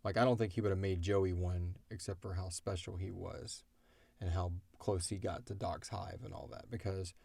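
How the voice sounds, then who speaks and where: A man with a deep voice and a creaky edge chats as a podcast co-host. He answers listener questions casually, wandering through explanations and joking along the way. The sound is dry, with little room in it. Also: fairly even intonation, moderate pace, darkish tone, no echo. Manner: rambling, joking explanations with acted-out quotes. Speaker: podcast co-host and creator of an audio drama, answering fan questions.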